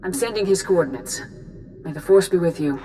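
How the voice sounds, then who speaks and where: A woman playing a stern, grave Jedi grand master in a video game, measured and authoritative. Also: on the low side, warm tone, lightly acted. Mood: solemn, commanding. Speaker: military and spiritual leader. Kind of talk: dramatic scripted game acting. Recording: music behind the voice.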